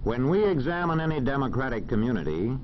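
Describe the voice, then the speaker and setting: An American man narrating a 1940s educational film in a formal, measured, didactic manner. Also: medium pitch, big pitch swings. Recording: dull, faintly noisy old film soundtrack.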